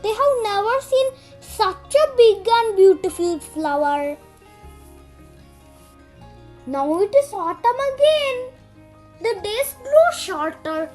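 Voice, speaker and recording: A very high, childlike voice reading a children's storybook aloud in an expressive, dramatic way with pauses for suspense. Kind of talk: read-aloud storybook narration.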